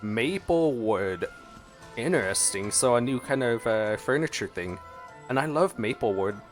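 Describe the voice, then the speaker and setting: A man giving casual, expressive gameplay commentary, talking to himself playfully as he plays. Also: mid-range voice, unhurried. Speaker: gaming streamer. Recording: game music behind voice. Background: video game music.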